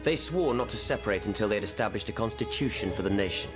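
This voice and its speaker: An actor playing a solemn, persuasive revolutionary in a video game, measured and formal. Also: male voice, lowish voice, lively intonation, lightly acted. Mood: solemn, proud. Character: grave, solemn French revolutionary leader, discreet and persuasive. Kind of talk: video game cutscene acting. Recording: game music behind.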